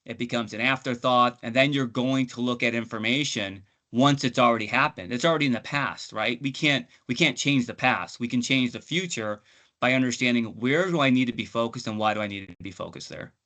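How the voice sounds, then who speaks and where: A man's voice: mid-range voice, a little fast, sharp tone. A man on a webinar panel giving conversational business advice in an informal, explanatory way. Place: online webinar call.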